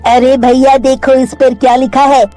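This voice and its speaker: A woman plays an earnest young boy in a children's cartoon tale. The voice is high, simple and caring, with music in the background. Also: little pitch movement, measured pace, warm tone. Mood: surprised.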